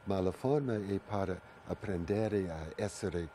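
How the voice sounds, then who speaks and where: An older man with a strong Italian accent, speaking slowly and haltingly in a very low, mellow voice. He searches for words, repeats phrases and restarts often. There is a faint hiss of background noise behind him. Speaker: guest, Watsu originator. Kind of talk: radio interview answers.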